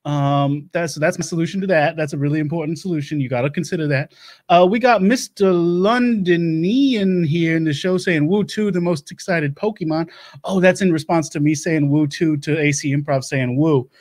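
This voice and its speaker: A man playing a rambling doomsday-prepper host, talking fast, stumbling over words and jumping into loud, high bursts. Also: lightly acted. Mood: manic rambling. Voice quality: rapid, stumbling, loud bursts, drawling asides. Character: rambling Louisiana doomsday-prepper man, manic, self-assured. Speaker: livestream show host. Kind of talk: improv character comedy.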